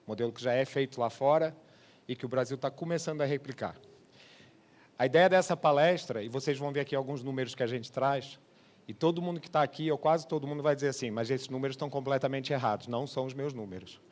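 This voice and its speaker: A man with a medium-pitched voice and a Brazilian accent carrying a Portuguese tinge. He presents at a business conference in a conversational, explanatory way, often adding small tags to check that listeners follow. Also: quick pace, a little mellow. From Brazil (born Rio de Janeiro) and Portugal. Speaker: sales manager giving a conference talk. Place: trade congress presentation space.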